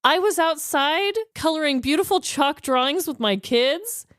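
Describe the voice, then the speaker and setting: Lively, high-pitched woman co-hosting a comedy podcast. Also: unhurried, no echo, studio quality. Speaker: podcast co-host. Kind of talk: casual comedic banter.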